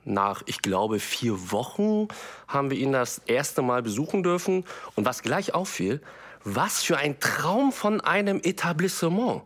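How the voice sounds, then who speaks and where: A man's voice: mid-range voice, sing-song, measured pace, fairly bright tone. A man playing an impressed clinic visitor, chatting in a gushing, casual documentary interview style. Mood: impressed, wry.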